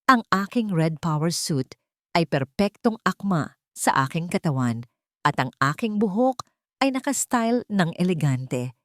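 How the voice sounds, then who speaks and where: A woman's voice: medium pitch, no room sound. A woman with a Filipino accent reading a dramatic first-person story as an audiobook narrator.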